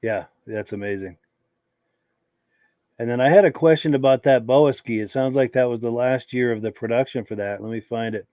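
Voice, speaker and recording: A man's voice: medium pitch, normal speed, dark tone, dry recording, muffled recording. A man hosting a live podcast with a cold, chatting casually and reading viewer comments aloud as he goes. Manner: easygoing, thinks aloud while he searches. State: hoarse from a cold. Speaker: podcast host.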